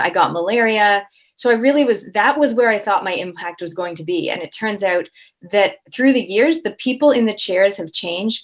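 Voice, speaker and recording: Upbeat Canadian woman, mid-pitched. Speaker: guest, impact coach. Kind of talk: casual interview chat.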